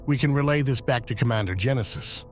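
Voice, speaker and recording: A careful, watchful scout in a motion comic, played by a man. His voice sits at an average pitch and he talks in a wary, measured way. Faint music runs underneath. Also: lightly acted. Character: cautious, observant young male scout. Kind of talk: motion comic dramatic acting.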